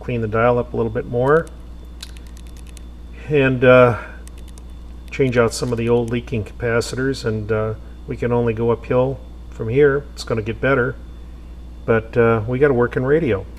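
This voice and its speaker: A man restoring an old radio, talking casually to himself with lots of pauses and fillers. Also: mid-range voice, slight background noise. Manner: relaxed, thinking aloud with many fillers. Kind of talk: casual workshop narration.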